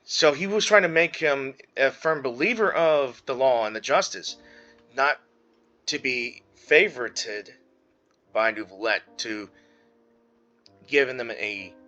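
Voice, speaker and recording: Male gaming host: bright, forceful tone, mid pitch, casual halting commentary over faint game music. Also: expressive, muffled recording. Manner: halting, thinking aloud. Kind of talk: casual let's-play commentary.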